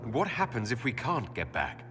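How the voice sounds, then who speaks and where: A man playing an earnest, gently wry elven hero in a fantasy video game. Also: medium pitch, sing-song, normal speed, noisy background, lightly acted. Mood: concerned. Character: earnest, wryly humorous male elven hero. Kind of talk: video game dialogue acting.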